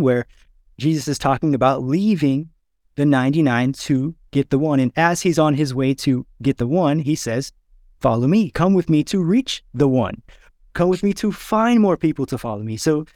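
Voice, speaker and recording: A man's voice: medium pitch, a little mellow, no echo, studio quality. A man who preaches, hosting an interview podcast in a conversational style.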